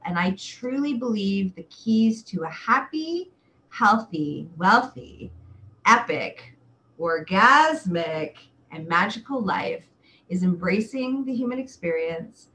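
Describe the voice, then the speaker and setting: A woman in her forties hosting a chatty live webcast, talking to viewers in an exuberant, theatrical way with drawn-out emphatic words. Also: medium pitch, fairly bright tone, slight background noise, rough recording. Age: 40s. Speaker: livestream show host and sexual wellness coach.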